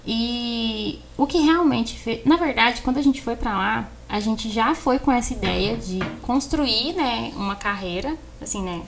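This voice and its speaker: A woman with a Brazilian accent, chatting casually as a podcast co-host and telling personal stories in an animated way, repeating words for emphasis. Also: a little high, a little slow, creaky, hardly any pauses, a little hiss or hum. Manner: animated storyteller who acts out exchanges and repeats words for emphasis. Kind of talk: casual conversation, personal storytelling.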